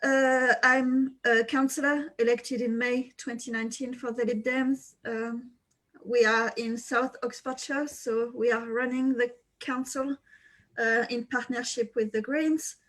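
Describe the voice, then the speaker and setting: A woman's voice: fairly high pitch, steady tone, unhurried, a bit bright, rough recording. A French woman speaking English with a French accent, introducing herself as a local councillor on a video call, hesitant with many fillers. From France.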